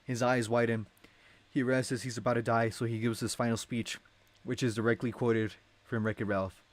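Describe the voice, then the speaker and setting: A man's voice: medium pitch, level tone, moderate pace. A young adult man narrating a script in an informal, amused way, often interrupting himself. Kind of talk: casual script read-along.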